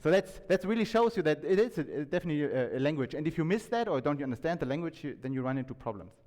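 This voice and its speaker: A man giving an informal talk to an audience, conversational and halting, often restarting his sentences and checking in with questions. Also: a little high, lively intonation, a little mellow. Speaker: conference speaker. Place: indoor talk venue, reverberant room. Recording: echoey hall with long reverb.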